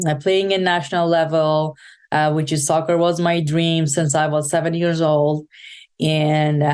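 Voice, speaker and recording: A woman from Afghanistan with an Afghan accent, giving an earnest podcast interview, halting and restarting often. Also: low-pitched, darkish tone. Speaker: podcast guest, nonprofit founder.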